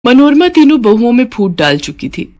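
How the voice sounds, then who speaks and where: A woman's voice: fairly high pitch, very animated, normal speed, darkish tone. A woman storyteller reading scripted narration of a family moral story.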